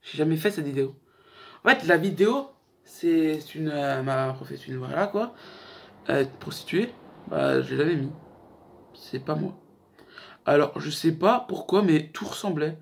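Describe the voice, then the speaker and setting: Higher-pitched young man, slangy casual chat. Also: expressive, a little slow, gravelly, long pauses. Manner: slangy, joking, rambling. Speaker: YouTube host. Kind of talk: casual chat to camera, countdown list.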